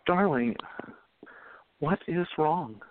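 A man playing a gentle, supportive 1920s sweetheart in a radio play, tender and earnest. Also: a little high, animated, drawn-out words. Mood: tender concern. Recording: tinny phone-like sound.

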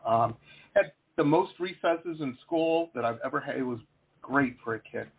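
A middle-aged man hosting a casual interview, rambling and animated, with lots of fillers. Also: mid-range voice, normal speed, slightly rough. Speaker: host. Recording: phone-like lo-fi line.

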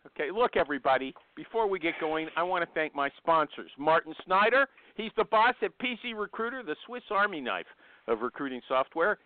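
High-pitched male host, blunt and teasing, on a thin phone line. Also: no room sound. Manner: blunt, teasing, interrupts guests. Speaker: show host. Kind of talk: brash casual call-in show. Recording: tinny phone-line audio.

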